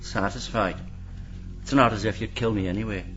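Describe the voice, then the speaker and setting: An actor playing a suspicious criminal accomplice in a radio mystery, terse and guarded. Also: male voice, fairly low pitch, fairly even intonation, normal speed, a bit bright, natural voice. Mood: wary, suspicious. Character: wary, suspicious criminal accomplice demanding payment. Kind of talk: radio mystery drama acting. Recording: dull audio with prominent music underneath.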